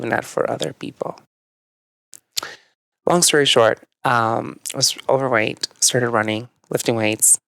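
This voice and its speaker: A young man from northern Mexico, a native Spanish speaker, talks through his story in a relaxed, reflective manner, often pausing on "um" and "like." His voice has a gravelly, fried edge. The sound is dry and close, with no room around it. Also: medium pitch, no room sound. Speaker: podcast guest, yoga teacher. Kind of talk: casual conversational storytelling.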